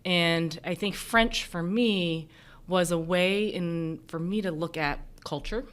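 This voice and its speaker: A woman's voice: fairly low pitch, slow pace, clean tone. A woman from the United States, a native Mandarin speaker, explaining thoughtfully as a physician in a reflective interview. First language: Mandarin Chinese. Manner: thoughtful, explanatory, hedging with "kind of" and "you know". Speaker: emergency physician being interviewed.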